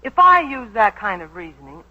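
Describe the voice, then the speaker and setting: An actress playing a proud, suspicious young secretary with a crisp, cutting manner, in 1940s radio drama style. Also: female voice, fairly high pitch, very animated, moderate pace. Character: loyal, sharp-tongued young female secretary, suspicious and proud. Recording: dull 1940s radio broadcast recording.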